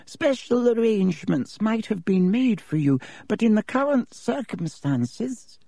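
A man voicing a frail, feeble old male wizard headmaster who talks slowly and kindly in a thin, quavering voice. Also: high voice, animated, gravelly, dark tone, no echo, clean studio sound, voice pushed up, full character voice. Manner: slow, fussy and kindly. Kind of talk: audiobook character voice.

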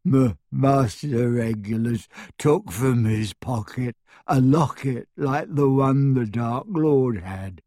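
A man voicing a frightened old male elf servant in an audiobook, abject and devoted, speaking haltingly through sobs. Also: on the high side, darkish tone, no room sound, studio quality, pitched above the performer's own voice. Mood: tearful, grieving. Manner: sobbing, halting, stammering, speaks of himself in third person. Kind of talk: audiobook character acting.

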